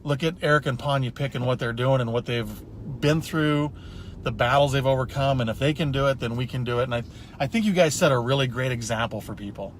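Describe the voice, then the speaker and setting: A man's voice: mid-range voice, fast talker, slight background noise. A man hosting a casual interview, chatty and enthusiastic, heaping praise on his guests. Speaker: host.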